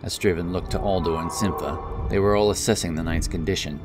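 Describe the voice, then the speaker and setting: Casting: deep male storyteller, solemn and dramatic, for scripted fantasy narration over strong music. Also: fairly even intonation, a little fast.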